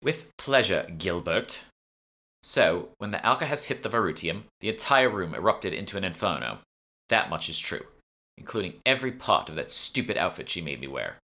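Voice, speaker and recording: A man's voice: deep voice, sing-song, normal speed, vocal fry, pushed and bright, heavily acted. A man voicing a cold, accusing, sarcastic woman of a magic guild in an audiobook. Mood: smug, sardonic. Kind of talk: audiobook character dialogue.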